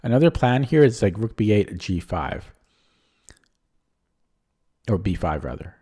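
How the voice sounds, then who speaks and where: A man with a lowish, mellow voice that is a little strained, thinking aloud while he streams chess. He talks casually and hesitantly and keeps questioning his own moves. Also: big pitch swings, unhurried, slightly rough. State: voice strained from talking. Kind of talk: casual live-stream commentary.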